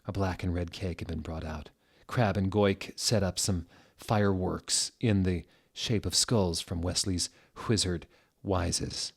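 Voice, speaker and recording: A man narrating fan fiction as a theatrical dramatic reading, with deliberate, mocking delivery. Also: low voice, steady tone, vocal fry, breathy edge.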